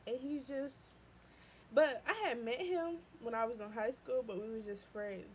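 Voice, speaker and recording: A young woman tells her story straight to the camera in her somewhat high voice, chatty and expressive. She leaps up when quoting her excited past self and sinks low and soft when upset. A faint hum sits behind her. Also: normal speed. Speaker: vlogger. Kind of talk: casual storytelling.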